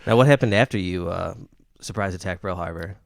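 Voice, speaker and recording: A man's voice: sits low, brisk, vocal fry, no echo, studio quality. A man co-hosting a podcast in crude casual banter, opinionated and prompting the others. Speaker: podcast co-host.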